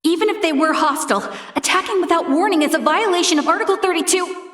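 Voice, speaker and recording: A woman's voice: very high voice, quick pace, a bit bright, dry recording, studio quality. A woman voicing an earnest, principled young female first officer of a starship in a video game, with formal, precise diction. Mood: urgent, protesting.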